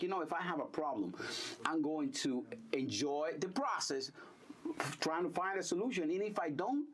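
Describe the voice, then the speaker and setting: A middle-aged man from Honduras, a real estate broker, telling his story in a casual, energetic conversation. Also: a little high, slight background noise. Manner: animated storytelling, repeats words, energetic.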